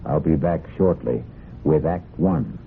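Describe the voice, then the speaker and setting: A man narrates as host of an old radio mystery show. His voice is deep and gravelly, his delivery deliberate and theatrical. It comes through a narrow, phone-like vintage broadcast sound. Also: heavy background noise.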